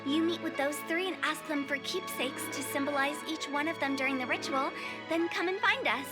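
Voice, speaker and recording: A woman's voice: high-pitched, a little fast, close to an everyday voice. An actress playing a quirky, confident young female funeral director in an anime game, breezy and self-assured. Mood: brisk, instructing. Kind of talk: anime video game voice acting. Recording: music behind.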